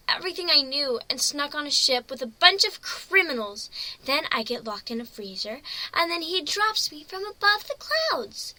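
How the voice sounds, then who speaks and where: Woman acting a high, bright-voiced excitable young female pony. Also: dry recording, heavily acted, poor audio. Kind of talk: dramatic reading of a fan fiction story.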